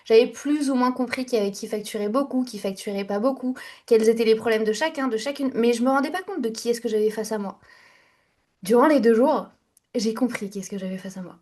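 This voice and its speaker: A young woman speaks on a podcast in a casual, candid way. Her voice sits a little high, and she circles back on her sentences with fillers. She sounds frank and unguarded. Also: a little fast, a little mellow. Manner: candid, chatty, many fillers and restarts. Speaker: podcast guest, entrepreneur. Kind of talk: casual interview storytelling.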